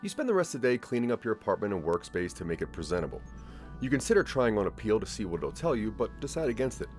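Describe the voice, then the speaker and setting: Low, expressive male story narrator. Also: fast, soft background music. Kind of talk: scripted second-person audio drama narration.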